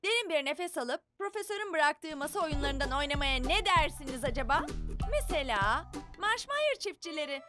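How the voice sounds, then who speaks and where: A woman's voice: high voice, sing-song, normal speed, music in the background. A woman voicing a well-meaning little superhero girl who leads the group, earnest and articulate, in a cartoon dub. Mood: earnest, persuasive.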